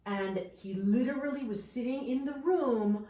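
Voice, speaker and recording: A woman's voice: on the high side, measured pace, far from the mic. A woman in her thirties from New York, a life coach, telling her story in a candid, reflective way. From New York suburbs. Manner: candid, reflective, animated at times. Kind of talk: personal storytelling in interview. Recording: echoey room, a bit off-mic, faint noise.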